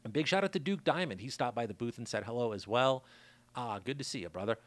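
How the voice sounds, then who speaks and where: A man hosting his podcast in a loose, rambling monologue, his voice raspy from a worn throat. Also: medium pitch, lively intonation, quick pace, no echo. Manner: laid-back, loose, chatty with shout-outs. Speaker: podcast host. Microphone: studio mic.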